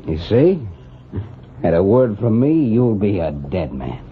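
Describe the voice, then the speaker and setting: A smug, swooping western villain boss. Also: male voice, lowish voice, slow, heavily acted. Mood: gloating menace. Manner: menacing, gloating, excitable. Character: vengeful, smug male gambling-house crime boss and ex-convict. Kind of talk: 1940s radio western villain acting. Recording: dull, slightly noisy old radio recording.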